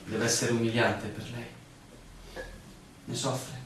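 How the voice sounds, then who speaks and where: An actor playing a patronising village official in a radio drama, with a theatrical, condescending manner. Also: male voice, medium pitch, flat delivery, slow, fairly bright tone. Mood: quietly patronising. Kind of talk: Italian stage-style radio drama acting. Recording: very echoey, distant, clearly noisy room recording.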